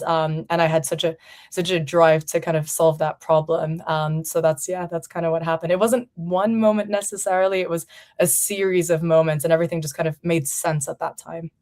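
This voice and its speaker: Low, even-toned woman from Portugal. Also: brisk. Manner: reflective, conversational, frequent "you know" fillers. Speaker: founder and CEO, guest. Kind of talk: casual interview conversation.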